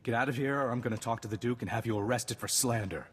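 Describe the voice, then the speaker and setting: Game villain: blustering noble, husky, medium pitch, echoing hall. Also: male voice, quick pace, fairly bright tone. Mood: indignant. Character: defensive treacherous nobleman, blustering and indignant. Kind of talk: video game cutscene dialogue.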